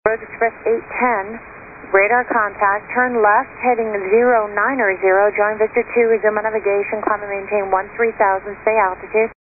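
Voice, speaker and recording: A woman gives procedural air traffic instructions over a tinny radio channel. Her pitch stays very even and her delivery is matter-of-fact, with faint static around it. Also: moderate pace. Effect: aviation radio.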